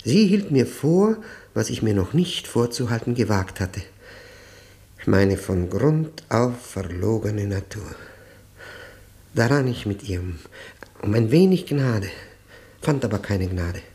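A man playing an anguished, guilt-ridden middle-aged prisoner in a 1960s radio drama, speaking in a halting, solemn, haunted way. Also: lowish voice, lively intonation, warm tone. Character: anguished, broken, guilt-ridden male long-term prisoner confessing.

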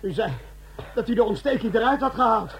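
An actor playing an eager, deferential army corporal, a crook's loyal accomplice, in a comic radio play. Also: male voice, very high voice, brisk, lo-fi sound, a little hiss or hum. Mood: worried.

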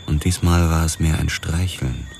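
An actor narrating as a world-weary police officer in a hard-boiled radio drama, terse and measured. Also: male voice, rumbling low, fairly even intonation, creaky, a little mellow, a little hiss or hum. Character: world-weary male cop narrating his case.

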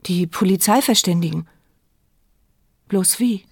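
A woman's voice: fairly low pitch, unhurried, breathy edge, no echo. An actress playing a blind, mute woman narrating her thoughts with a wry, sardonic edge. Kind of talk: radio play inner monologue.

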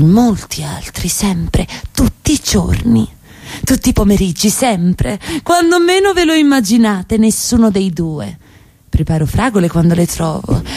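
A woman's voice: mid-range voice, mellow, dry recording. A woman playing an evasive, defiant, seductive middle-class wife in radio theatre, with a theatrical, insistent delivery that can drop to a whisper. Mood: taunting, defiant.

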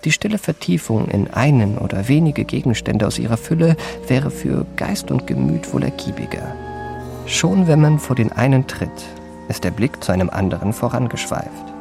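An actor playing a cultivated confidence man who recounts his youthful adventures in ornate, deliberate literary narration for a radio drama. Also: mid-range voice, vocal fry. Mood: self-satisfied, reflective. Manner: ornate, elaborate, deliberate phrasing.

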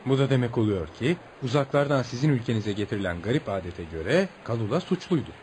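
Man acting a quick, curious journalist. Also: medium pitch, a little hiss or hum, close to an everyday voice. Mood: curious, surprised. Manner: inquisitive, animated. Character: curious, sympathetic male writer-journalist interviewing and chatting. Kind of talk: radio theatre acting.

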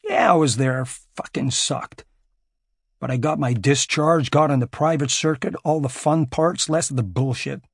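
A man's voice: mid-range voice, unhurried, breathy edge, dry recording, studio quality. A man voicing a burly, crude American ex-marine security contractor with an American accent. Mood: brash. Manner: crude, swaggering, profane.

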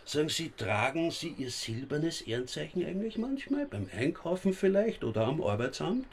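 A man's voice: a little high, big pitch swings, normal speed, a little hiss or hum. An actor in a radio drama playing a sarcastic, needling police inspector who mocks as he questions. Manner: mocking, interrogating.

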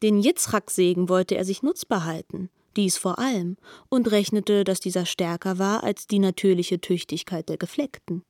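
An actress plays a shrewd woman narrator in a radio literary drama. Her medium-pitched voice is recorded dry, and she delivers with pointed, deliberate phrasing. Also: a little mellow, no echo, close to an everyday voice.

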